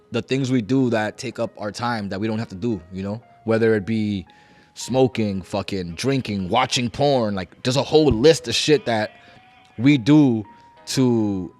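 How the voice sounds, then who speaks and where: A man's voice: fairly low pitch, darkish tone. A young adult man hosting a podcast, talking in a loose, slangy, profane casual style. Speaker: podcast host.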